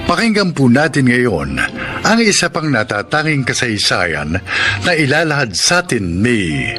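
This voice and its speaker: Man reading drama credits really slow, lively pitch, music behind. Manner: slow, formal, measured. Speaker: program host and announcer. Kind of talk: radio drama credits and introduction.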